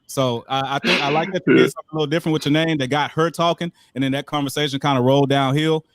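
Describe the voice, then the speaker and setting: A man's voice: a little high, steady tone, racing, no room sound. A young man hosting a live show, giving blunt, profane and joking critiques. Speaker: show host.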